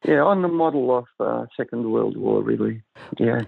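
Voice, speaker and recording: An older man with a gravelly, husky voice, a guest on an interview show, speaking in a measured, thoughtful way with pauses and restarts. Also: medium pitch, dry recording. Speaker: guest psychiatrist. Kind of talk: reflective interview talk. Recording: dry tinny phone-like line.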